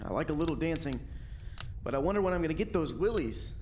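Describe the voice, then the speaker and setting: A man's voice: on the high side, animated, fast, a little mellow, a little hiss or hum, close to an everyday voice. A man playing a fearless, naive young lad in a storybook radio play, unfazed and wisecracking. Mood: breezy. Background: music.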